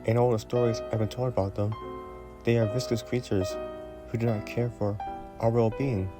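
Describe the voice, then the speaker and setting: A man playing a polite, gentle young prince in a romantic fantasy audio roleplay, speaking formally and a little hesitantly. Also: mid-range voice, fairly even intonation, moderate pace, music in the background, close to an everyday voice. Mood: polite, curious. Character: young prince, courteous, gentle, shyly curious royal.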